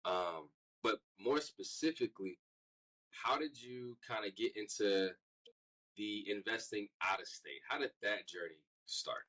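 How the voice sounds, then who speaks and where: A male co-host of an interview podcast with a low voice. He speaks loosely and casually, filling his questions with "um" and "man" as he introduces the guest. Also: measured pace, low-quality recording. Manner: relaxed, loose, says "man" and "um" often.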